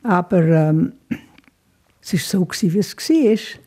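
An older woman with a Swiss German accent speaks slowly and reflectively, answering interview questions about her youth. Her voice is mellow and warm in tone, with occasional soft laughter and hesitant fillers. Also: on the low side, lively intonation, slightly rough. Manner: thoughtful, frequent fillers, laughs softly at times. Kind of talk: reflective life-story interview.

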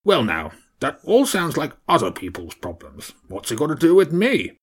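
A grumpy old badger king, all menace and suspicion, with a deep gravelly growl, as a man reads him out. The voice is husky and leaps up and down in pitch. It sounds a little distant from the microphone. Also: huge pitch swings, normal speed, exaggerated voice. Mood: gruff.

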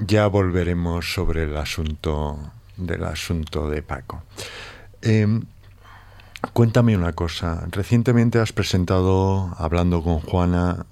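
A man with a very low voice works as a music show interviewer. He speaks slowly and conversationally as he puts questions to a guest and introduces songs. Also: darkish tone, clean tone.

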